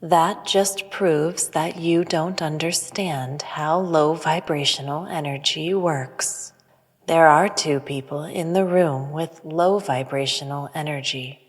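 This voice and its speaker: An actress playing a serene, preachy female alien spiritual teacher in an audio drama, speaking in a solemn, measured way. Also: deep voice. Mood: stern. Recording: long reverb, music underneath.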